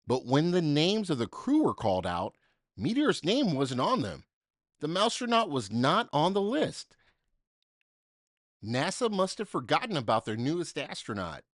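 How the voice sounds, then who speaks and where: A man reading a children's story aloud in an expressive, dramatic way, with deliberate emphasis for young listeners. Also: fairly high pitch, no room sound, clean studio sound. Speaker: storybook reader.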